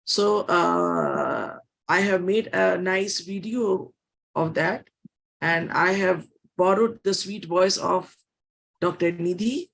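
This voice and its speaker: A woman's voice: on the low side, slow, vocal fry, a little hiss or hum, poor audio. A woman doctor with an Indian English accent introducing her talk at a conference, hesitant with many fillers. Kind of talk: conference presentation. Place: conference hall.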